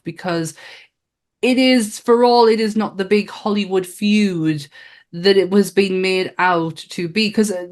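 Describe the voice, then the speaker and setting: A woman with a Northern English accent, co-hosting a casual podcast chat in a frank, reactive way. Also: medium pitch. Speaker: podcast co-host.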